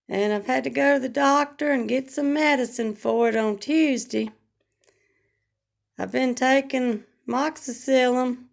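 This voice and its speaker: A hoarse woman, chatting slowly and plainly about her week at home into a phone camera. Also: sits high. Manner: slow, homespun, rambling talk with regional grammar. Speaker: vlogger. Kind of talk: casual home vlog chat.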